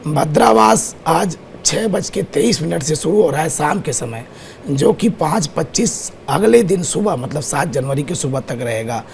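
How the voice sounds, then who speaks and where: A man with a sore, hoarse throat explains astrology as a guest on a TV show. His voice is gravelly and fairly high, with a faint hiss in the background. He moves steadily through lists of times and dates. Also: expressive, a little slow, darkish tone. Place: TV studio.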